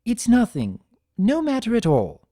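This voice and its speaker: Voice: medium pitch, wildly swooping pitch, measured pace, mellow, no room sound, studio quality, voice pushed up. A performer voicing an elegant, proud and guarded female unicorn pony in a cartoon fan story, with refined, theatrical and precise diction. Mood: firm, pained.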